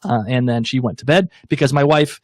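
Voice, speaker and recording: A man's voice: medium pitch, brisk, no room sound, studio quality. Enthusiastic rambling man on podcast. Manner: enthusiastic, rambling with fillers and restarts, laughs easily. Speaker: podcast co-host. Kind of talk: casual TV recap chat.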